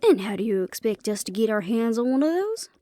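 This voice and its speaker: A woman's voice: fairly high pitch, sing-song, brisk, mellow. A woman playing a sardonic, impatient and proud female vampire in a fantasy audio roleplay, speaking with sarcasm and disbelief. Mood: incredulous. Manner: sarcastic, incredulous.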